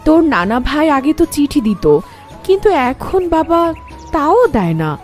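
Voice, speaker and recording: A woman's voice: sits high, very animated, moderate pace, darkish tone, faint music behind. A woman playing a concerned mother of a grown son in cartoon dialogue. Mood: concerned, wistful.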